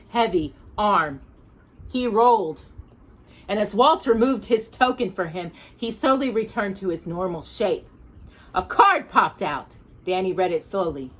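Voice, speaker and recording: A woman reading a children's picture book aloud in expressive storytime narration. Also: mid-range voice, measured pace.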